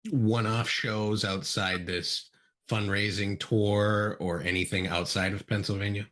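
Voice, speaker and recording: Low-voiced male podcast host. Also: unhurried. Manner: brief, relaxed questioning. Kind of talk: interview questions.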